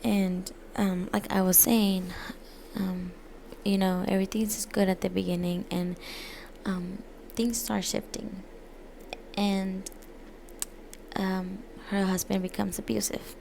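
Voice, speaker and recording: Woman with a very creaky, flat voice. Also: medium pitch, a little hiss or hum. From United States, born in Mexico. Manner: hesitant, halting, frequent pauses and self-corrections. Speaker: student presenting a literature essay. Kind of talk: informal spoken book presentation.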